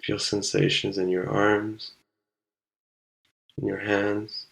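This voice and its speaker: Low, gravelly man guiding meditation. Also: low-quality recording. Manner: calm, unhurried instruction with long pauses. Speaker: meditation guide. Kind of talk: guided meditation.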